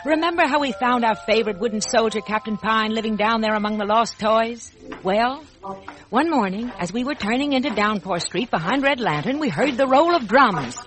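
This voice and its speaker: A woman's voice: on the high side, lively intonation, moderate pace, a bit bright, faint music behind, low-quality recording. A woman narrating a scripted children's fantasy as host and storyteller, in 1940s radio style.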